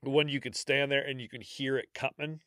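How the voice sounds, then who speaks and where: A man's voice: mid-range voice, fairly even intonation, fairly quick, fairly bright tone, no room sound. An American man co-hosting a podcast, a little congested, chatting casually and laughing through stories with plenty of swearing. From United States. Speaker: podcast co-host. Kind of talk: casual chat.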